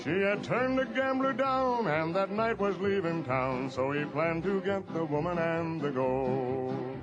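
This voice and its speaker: A man singing a western ballad with smooth crooning and long held notes. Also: a little high, a little slow, loud music behind the voice. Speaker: singer. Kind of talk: sung 1940s western ballad. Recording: lo-fi old record with band.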